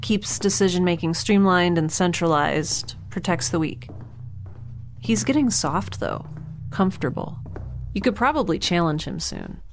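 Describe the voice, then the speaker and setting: A woman's voice: fairly low pitch, a little slow, soft background music. A woman playing a blunt, naive young scientist with a sarcastic, matter-of-fact manner in a naturalistic audio drama. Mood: curious, blunt.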